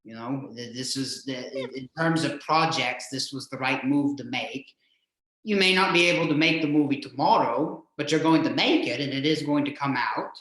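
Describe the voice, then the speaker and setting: A man hosting a casual interview in a hesitant, halting way, often repeating his words, in a very echoey recording. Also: medium pitch, fairly bright tone. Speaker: show host, interviewer. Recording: very echoey, reverberant room sound.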